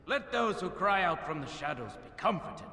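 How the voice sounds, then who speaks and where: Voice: mid-range voice, sing-song, moderate pace, bright, forceful tone, echoey room, big-room sound. A man playing a devout preacher in a fantasy game, intoning a holy chant in a solemn, declamatory way. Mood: solemn, exhorting.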